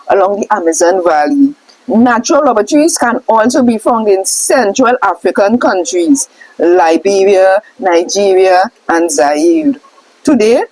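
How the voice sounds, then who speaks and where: A woman reading a scripted question-and-answer lesson aloud, setting out names and lists deliberately. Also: medium pitch, darkish tone, no echo, rough recording. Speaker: reader of a scroll text.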